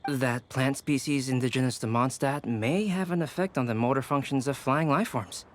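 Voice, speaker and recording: A man playing a calm, articulate young scholar in a video game, speaking precisely and formally. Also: mid-range voice, normal speed, close to an everyday voice. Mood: analytical. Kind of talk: animated video game voice acting.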